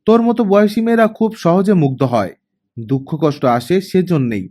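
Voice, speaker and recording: A man's voice: high-pitched, sing-song, fairly quick, mellow, voice pushed up. A man voicing a stern, guarded young woman, an elder sister with a curt, admonishing manner, in an audiobook story. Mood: cautioning, serious.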